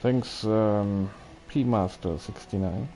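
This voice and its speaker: A man with a fairly low, mellow voice livestreams a video game. He rambles casually to viewers, hesitating and thinking aloud. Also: takes it slowly, a little hiss or hum.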